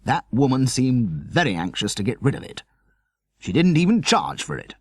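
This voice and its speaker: A man voicing a brisk, grumbling father who takes charge and is easily exasperated, in a children's audiobook. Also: mid-range voice, very animated, no room sound, voice pushed up.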